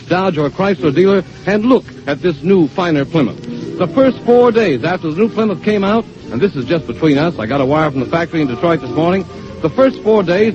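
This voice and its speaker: Casting: male vintage radio spokesman, high voice, emphatic salesman delivery, muffled old recording. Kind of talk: 1930s radio sales pitch, scripted. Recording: dull 1932 radio recording.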